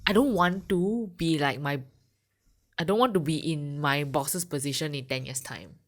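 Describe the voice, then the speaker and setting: A woman's voice: low voice, normal speed. A woman of thirty, co-hosting a podcast, musing and questioning as she trails off. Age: 30s. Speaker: podcast co-host. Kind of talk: casual chat.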